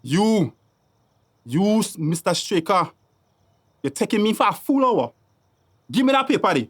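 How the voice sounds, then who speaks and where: A man's voice: high-pitched, sing-song, measured pace, exaggerated voice. An actor playing a bitter, grasping, middle-aged man in a radio drama, with a Tobagonian accent and a taunting, blustering manner. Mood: outraged. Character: Cuthbert, bitter, grasping, hot-headed man claiming paternity.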